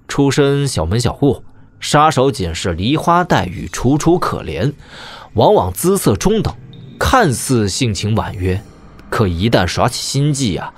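A man playing a wry, easygoing young noble swordsman in a martial-arts audio drama, chatting in a teasing, conversational way. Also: medium pitch.

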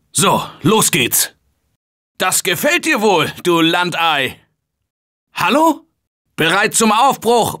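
A male actor playing a confident, energetic survivor in a German video game dub, shouting warnings and calling directions. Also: high voice, full character voice. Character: upbeat male office worker survivor, confident. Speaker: game character.

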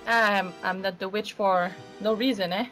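A woman's voice: mid-range voice, steady tone, moderate pace, a bit bright, faint music behind. A woman improvising in character in an online role-play game, speaking hesitantly.

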